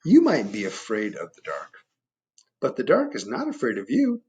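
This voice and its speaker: A man voicing a calm, gentle spirit of darkness in a storybook, coaxing and reassuring. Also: medium pitch, normal speed. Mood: gently reassuring.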